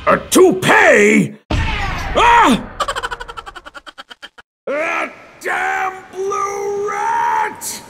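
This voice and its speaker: A man's voice: very high-pitched, wildly swooping pitch, very slow, pauses often, shouting, over music, exaggerated voice. A man voicing a pompous, irritable middle-aged mad-scientist villain, scornful and theatrical, in a cartoon comic dub. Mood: enraged. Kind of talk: fan comic dub acting.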